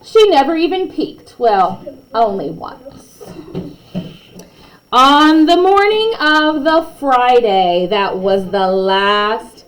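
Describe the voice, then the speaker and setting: A woman's voice: a little high, very animated, slow, low-quality recording. A woman reading a children's bedtime story aloud expressively, with chatty asides to young listeners.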